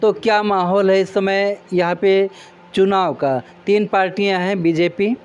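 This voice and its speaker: A man's voice: high voice, fairly even intonation, measured pace, smooth, dull audio. A man hosting an outdoor election interview in a village, politely prompting each guest to speak. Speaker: reporter and host. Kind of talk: local election street interview.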